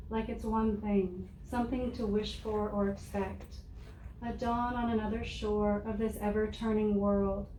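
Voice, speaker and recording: A soft-spoken woman reading her own poems aloud in a measured, deliberate way to a live audience. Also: medium pitch. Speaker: poet reading her work. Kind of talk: poetry reading. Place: room with an audience. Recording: roomy, distant mic with faint noise.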